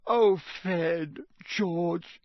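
A man's voice: very high-pitched, animated, slow, vocal fry, fairly bright tone, breathy edge, no room sound, voice pushed up, heavily acted. A man voicing a frantic, middle-aged motherly witch who frets shrilly and tearfully.

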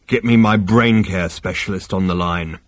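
A brutish alien captain from a science fiction storybook, acted by a man. The voice is a low, husky croak that rumbles and grunts. He speaks in blunt, terse phrases, grim and unadorned, in a dry, close recording. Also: moderate pace, dry recording. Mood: gruff.

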